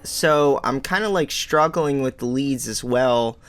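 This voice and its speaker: Producer dude in his home studio, slow easygoing talk, rambles and jokes about himself. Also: mid-range voice, background noise. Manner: rambling, self-deprecating, many fillers. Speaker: music producer teaching. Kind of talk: casual tutorial walkthrough. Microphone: studio microphone.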